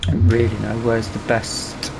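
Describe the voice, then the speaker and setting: A man's voice: medium pitch, completely flat delivery, moderate pace, gravelly, over music. A British man giving casual let's-play commentary, musing aloud to himself as he goes. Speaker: gaming video host.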